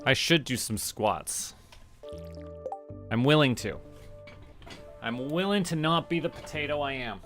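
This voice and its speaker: A man's voice: medium pitch, expressive, a little slow, pauses often, a bit bright. A man giving casual livestream game commentary, musing to himself in short remarks. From Canada. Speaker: VTuber streamer. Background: background music.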